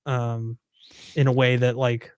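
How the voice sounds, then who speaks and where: A man's voice: mid-range voice, little pitch movement, takes it slowly, smooth, no echo. A man co-hosting a movie podcast, chatty and casual, leaning heavily on "like" and "um". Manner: chatty, heavy on "like" and "um". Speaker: podcast co-host. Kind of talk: casual chat about movies.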